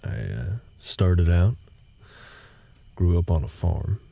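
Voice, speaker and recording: A man playing a gruff, world-weary but gentle cowboy ranch hand in an intimate audio roleplay, speaking in a halting, folksy way. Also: expressive, exaggerated voice. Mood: relaxed, wry. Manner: halting, low-key, folksy drawl-like phrasing. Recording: night ambience noise behind the voice.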